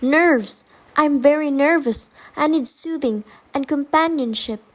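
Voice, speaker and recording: Woman acting nervous bossy invalid wife, really high voice, radio play, worked up. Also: unhurried. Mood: anxious, insistent. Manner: demanding, agitated. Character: nervous, imperious, self-pitying invalid wife in rising terror.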